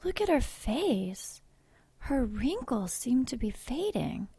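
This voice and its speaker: A woman's voice: a little high, very animated, slow pace, mellow, breathy edge, exaggerated voice. A woman playing a devout, caring nun in an audio drama, earnest, pious and given to scolding.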